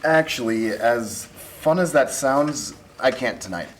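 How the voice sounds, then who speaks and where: Awkward young college guy read by a man, medium pitch, smitten, faintly noisy table read. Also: animated, slow. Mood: upbeat, evasive. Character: awkward, lovestruck male college student. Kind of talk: amateur table read of drama script. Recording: faint noise, amateur room recording.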